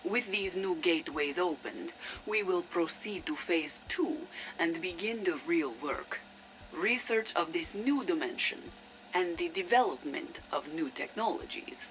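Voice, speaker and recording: A woman's voice: on the low side, expressive. Noisy log of a proud Soviet woman scientist. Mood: resolute. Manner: solemn, formal, deliberate. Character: proud, ambitious Soviet woman research chief. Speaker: game character, research lead. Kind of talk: formal acted audio log. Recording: noisy radio-like audio log.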